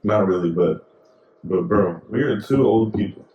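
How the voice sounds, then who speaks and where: A man's voice: on the low side, normal speed, roomy sound, dull audio, noisy background. A young man co-hosting a podcast in casual, rambling chat full of slang and fillers. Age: young adult. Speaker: podcast co-host.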